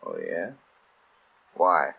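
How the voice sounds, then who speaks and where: An actor playing a tough, jumpy small-time crook, with gruff tough-guy slang in an old radio drama. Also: rumbling low, huge pitch swings, extremely slow, heavily acted. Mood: wary, tough. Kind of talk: 1930s-style radio drama acting. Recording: tinny old radio broadcast.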